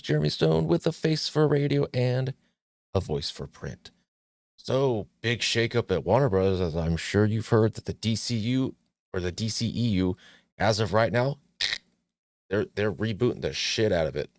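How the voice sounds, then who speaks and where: A man's voice: lowish voice, animated, moderate pace, darkish tone, dry recording, studio quality. A man hosting his own movie talk show, chatting casually with jokes and plenty of restarts. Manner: joking, rambling, frequent restarts and fillers.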